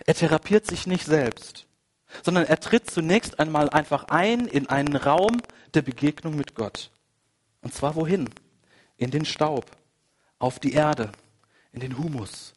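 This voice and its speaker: A man with a cold preaching a sermon, conversational and full of asides, moving between quiet, reflective passages and emphatic, rising ones. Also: a little high, animated, a little slow, breathy edge. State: has a cold. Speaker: pastor. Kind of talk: sermon with personal anecdote.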